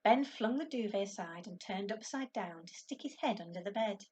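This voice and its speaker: A woman's voice: mid-range voice, lively intonation, moderate pace, darkish tone, breathy edge. A woman teacher reading a children's story aloud expressively to her class.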